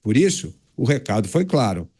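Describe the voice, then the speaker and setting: Animated, assertive Brazilian male commentator. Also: medium pitch, moderate pace, husky. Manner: assertive, categorical. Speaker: political commentator. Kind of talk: opinion commentary on a news debate show.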